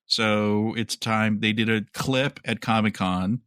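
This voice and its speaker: Male podcast host, low bright voice, dry close sound, casual speculative news chat. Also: steady tone, slow pace, dry recording.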